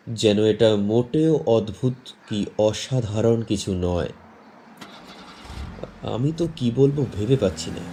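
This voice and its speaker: A man presenting and narrating a scripted horror story in a measured, suspenseful, dramatic manner. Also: fairly low pitch, mellow, slight background noise. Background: faint background music.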